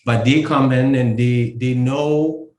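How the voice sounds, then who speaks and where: A man from Haiti, a company co-founder, explaining his work in a casual interview, halting with many fillers and restarts. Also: medium pitch, steady tone, darkish tone, roomy sound. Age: adult.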